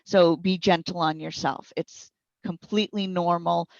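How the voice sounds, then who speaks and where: Low, creaky-voiced woman explaining. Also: steady tone, unhurried, no room sound, muffled recording. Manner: explanatory, encouraging. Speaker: guest software trainer. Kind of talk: conversational instructional interview answers.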